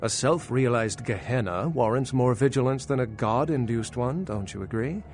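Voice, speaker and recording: A man's voice: medium pitch, normal speed, darkish tone, soft background music, natural voice. An actor playing a centuries-old vampire scholar in a video game, articulate, skeptical and dryly condescending as he lectures. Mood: lecturing, skeptical. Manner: articulate, lecturing, wry, pedantic. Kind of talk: video game dialogue acting.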